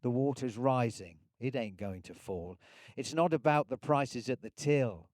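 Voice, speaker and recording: A man reads verse in a mellow, soft-edged voice at a slow pace. His phrasing is deliberate and rhythmic, captured very dry and close. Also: medium pitch, animated, no echo. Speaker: poetry reader. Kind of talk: poetry recital.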